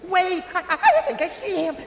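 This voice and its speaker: Fawning nervous minion, high voice, stutters a lot, echoey hall, radio play. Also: male voice, lively intonation, a little fast, gravelly, slight background noise, heavily acted. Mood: jittery. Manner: stammering, jittery, servile. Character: nervous, fawning male henchman to a villain. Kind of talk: audio drama acting. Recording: echoey hall, music behind.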